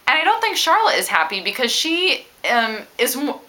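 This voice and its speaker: A woman's voice: sits high, big pitch swings, moderate pace, sharp tone. A woman book vlogger talking casually to her viewers in a chatty, analytical, emphatic way. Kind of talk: casual book discussion to camera.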